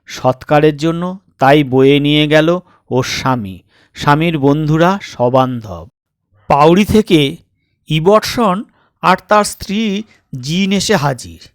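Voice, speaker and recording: Male storyteller, higher-pitched, measured suspenseful delivery in short phrases, dry close recording. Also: animated, no room sound. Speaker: storyteller, narrator of audio stories. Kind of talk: dramatic scripted story narration.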